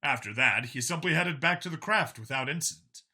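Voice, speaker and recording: Bright, forceful male story narrator. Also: mid-range voice, lively intonation, brisk, breathy edge. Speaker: audiobook narrator.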